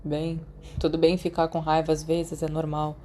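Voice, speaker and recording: A woman's voice: sits low, monotone, moderate pace, mellow, slight background noise, lightly acted. A spoiled, cheeky rich boy in his teens from an audio story, voiced by a woman. He talks in casual Brazilian Portuguese with a teasing edge. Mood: contrite. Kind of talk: podfic character acting.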